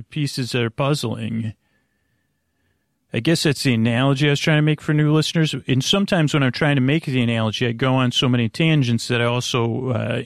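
Creaky, rambling male bedtime podcast host. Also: medium pitch, dry recording, studio quality. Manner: halting, stammering, repeats and trails off into tangents; friendly, gentle companion. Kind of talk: rambling, meandering bedtime monologue addressed to the listener.

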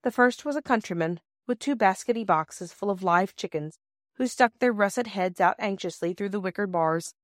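A woman's voice: medium pitch, lively intonation, normal speed, no room sound. Woman narrating a storybook, dry close sound. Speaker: audiobook narrator.

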